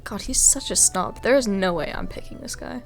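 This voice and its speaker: A woman's voice: mid-range voice, a little fast, music in the background. A woman gaming creator giving informal, reactive let's play commentary.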